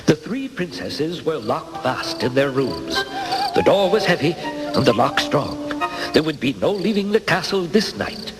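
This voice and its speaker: A man's voice: on the high side, big pitch swings, unhurried. A man narrating a scripted fairy tale as a dramatic storyteller. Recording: loud music behind.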